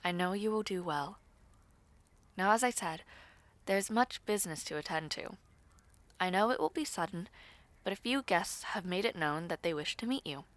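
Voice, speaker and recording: A woman voicing a gracious nobleman fiancé in a fantasy audio roleplay, formal, courteous and measured, as in a letter. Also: lowish voice, lively intonation, dry recording, pitched below the performer's own voice, natural voice. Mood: tender, reassuring.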